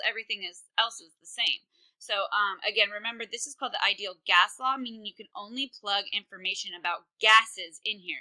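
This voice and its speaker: A woman's voice: mid-range voice, sharp tone, poor audio. Chatty woman teaching chemistry. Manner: casual, chatty, many fillers. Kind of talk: recorded online lesson.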